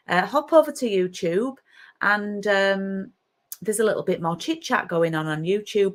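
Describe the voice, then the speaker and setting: A middle-aged woman with a Yorkshire accent, chatting casually on a craft live stream from her home craft room and greeting viewers by name. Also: lowish voice. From Yorkshire, England.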